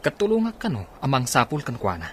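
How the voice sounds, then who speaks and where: A man's voice: medium pitch, huge pitch swings, fast, slight background noise. A man with a Filipino accent playing a politely evasive, loyal friend in a radio drama. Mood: explaining.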